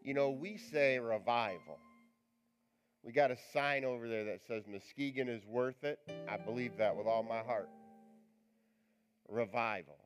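A male pastor with a raspy voice, preaching a live church sermon in a chatty, joking, rambling way. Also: medium pitch, unhurried, pauses often, faint music behind. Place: church sanctuary.

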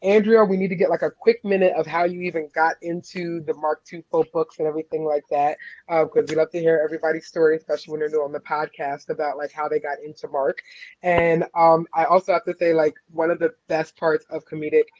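A woman co-hosting a book podcast in casual chat, giggly and animated when retelling scenes. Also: sits low, quick pace, lo-fi sound, slight background noise. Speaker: podcast co-host.

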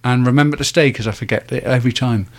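A man's voice: mid-range voice, fast talker. A man hosting a podcast, chatting casually with lots of ums, thanking listeners and joking. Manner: rambling, many ums, jokey and thankful. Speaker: podcast host. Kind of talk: casual chat.

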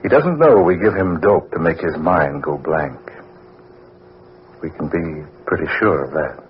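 An actor playing a smooth, calculating crooked lawyer in an old radio drama. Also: male voice, rumbling low, a little slow. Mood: cold, conspiring. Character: scheming, smooth, calculating male lawyer swindling his client. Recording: dull, noisy vintage radio recording.

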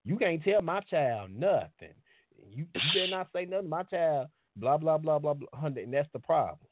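A man's voice: a little high, lively intonation, normal speed, background noise. A man co-hosting a casual talk show, speaking frankly and critically. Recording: tinny phone-like line.

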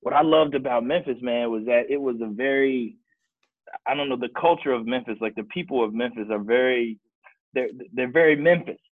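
A man's voice: medium pitch, fairly quick, no echo. Tinny-sounding man telling his story. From Kansas City, Missouri. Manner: relaxed, storytelling with many restarts. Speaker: guest. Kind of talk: casual podcast chat. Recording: dry, tinny phone-like sound.

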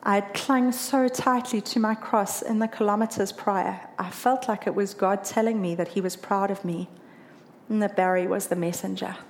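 A woman's voice: medium pitch, moderate pace. A woman from South Africa with a South African accent, earnestly telling a personal story about running a marathon. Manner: earnest, emotional, lively when quoting others.

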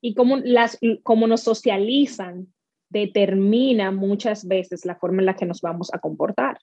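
A woman's voice: medium pitch, moderate pace, a little mellow, dry recording. A woman from the Dominican Republic with a Dominican accent, a guest in a conversational interview, telling her stories with animation and acting out the lines she quotes. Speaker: guest, speaker and workshop leader. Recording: slightly dull, dry sound.

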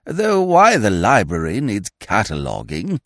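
A man's voice: fairly low pitch, huge pitch swings, unhurried, no room sound, clean studio sound, heavily acted. A man voicing a vague, flustered elderly earl who blurts startled exclamations. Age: older.

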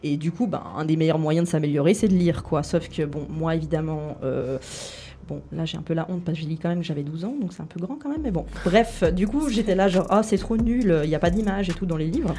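Chatty young woman with a mellow voice. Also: low-pitched, brisk, a little hiss or hum. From Italy, raised in Switzerland. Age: 20s. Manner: chatty, self-deprecating, many fillers. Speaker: radio show columnist. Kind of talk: casual round-table radio chat. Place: radio studio.

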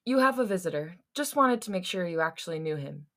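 A man's voice: high voice, brisk. A woman reading the lines of a weary, skeptical male office boss who talks with sighs. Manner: sighing, flat.

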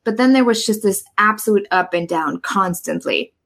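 A young woman from Germany, telling her story as an interview guest over a video call in a bubbly, quick way. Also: medium pitch, creaky, fairly bright tone. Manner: bubbly, quick, laughing, restarts often. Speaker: guest, transformation coach.

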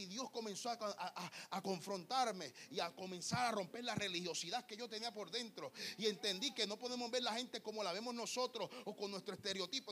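Young male preacher, high voice, fervent, repetitive delivery. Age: young adult. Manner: fervent, repetitive, calls listeners "amado". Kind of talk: Pentecostal sermon.

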